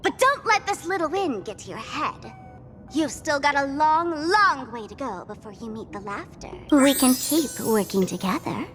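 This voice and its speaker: A woman's voice: sits high, big pitch swings, normal speed, music in the background. An actress playing a theatrical, cocky female trickster villain in an anime game, teasing and dramatic. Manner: teasing, dramatic, speaks of herself in third person.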